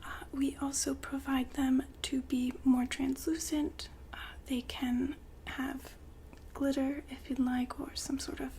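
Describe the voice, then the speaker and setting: A woman whispering softly and breathily in an ASMR roleplay, playing a gentle, attentive shop consultant. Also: high voice, flat delivery, a little slow. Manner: gentle, attentive customer-service patter. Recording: faint background noise and ambience.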